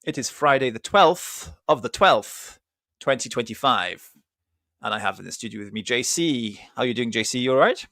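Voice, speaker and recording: A man's voice: mid-range voice, expressive, normal speed, creaky, pushed and bright, no echo. A man hosting a religious podcast in a studio, chatting in a rambling, self-correcting way, with a slight cough. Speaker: podcast host. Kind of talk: casual religious podcast talk. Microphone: studio mic with cough button.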